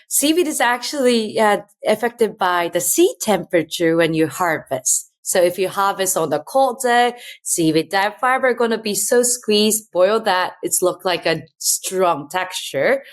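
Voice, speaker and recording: Woman, Japanese accent, thirties, interview guest, mid-pitched, earnest, chatty, many fillers. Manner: earnest, chatty, many "you know" fillers, loose grammar. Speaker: guest, company founder. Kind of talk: casual interview talk.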